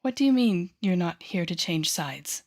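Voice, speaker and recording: A woman playing an intense, coaxing female telepathic villain with a secretly tender side in an intimate audio roleplay, speaking softly and often whispering with deliberate dramatic pauses. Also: fairly low pitch, lively intonation. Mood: incredulous, sardonic. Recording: background music under the voice.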